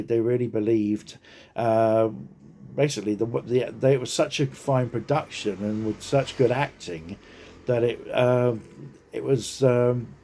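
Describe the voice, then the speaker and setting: A man talks informally and without a script in a relaxed, mellow voice. His delivery is very slow and hesitant, broken up by frequent ums and pauses, at a medium pitch. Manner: halting, hesitant, heavily punctuated with ums and pauses. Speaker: speaker giving personal reflections. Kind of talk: informal unscripted talk.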